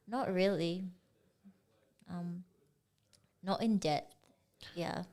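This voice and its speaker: A young woman from Singapore, guest artist chatting casually in an interview, relaxed and full of "like" and "um". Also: fairly low pitch, very slow. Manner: relaxed, many fillers like "like" and "um".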